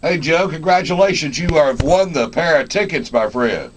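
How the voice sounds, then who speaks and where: A man's voice: a little high, animated, low-quality recording. Folksy radio host, male. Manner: folksy, halting with repeated words, addresses people as man. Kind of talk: live radio interview and promotion chat.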